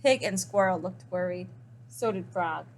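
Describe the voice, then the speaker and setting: A woman reading a children's picture book aloud expressively, with dramatic emphasis and pauses. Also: lowish voice, a little hiss or hum. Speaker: story reader.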